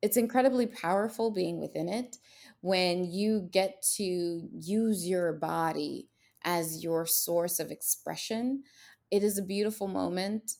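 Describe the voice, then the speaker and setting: Low gravelly woman, passionate podcast host. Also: measured pace, darkish tone. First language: Haitian Creole. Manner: passionate, emphatic, rhetorical questions. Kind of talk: casual podcast conversation.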